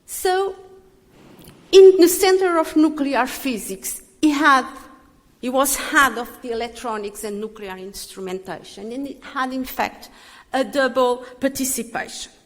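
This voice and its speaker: A woman's voice: high voice, measured pace. Older woman in a reverberant hall. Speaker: speaker giving a tribute. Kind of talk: commemorative speech. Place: large reverberant hall, live speech.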